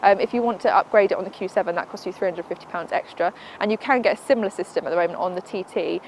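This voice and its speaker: A woman, a car reviewer giving a brisk, matter-of-fact verdict on an in-car system. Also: medium pitch, darkish tone, a little hiss or hum, low-quality recording. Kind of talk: product review.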